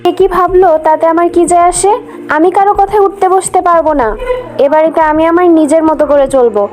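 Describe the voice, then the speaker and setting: A woman's voice: very high voice, fast talker, a little mellow. A woman voicing a headstrong, haughty young new bride in a Bengali cartoon, with an argumentative manner. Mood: haughty, irritated.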